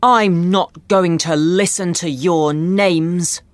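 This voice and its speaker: A man's voice: high-pitched, fairly even intonation, slow pace, no echo, pitched above the performer's own voice. Man voicing a defiant accused young man. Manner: indignant, raised voice.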